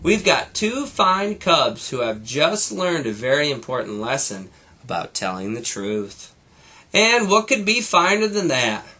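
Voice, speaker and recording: A man voicing a gentle, trusting mother bear with a patient, reassuring manner, for a children's storybook. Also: on the high side, sing-song, unhurried, full character voice. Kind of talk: children's storybook read-aloud.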